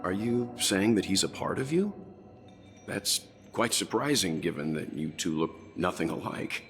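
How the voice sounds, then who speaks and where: Casting: mature, watchful gentleman, very low voice, calm formal game drama, light music behind. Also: a little slow. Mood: calm, curious. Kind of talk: dramatic video game cutscene acting.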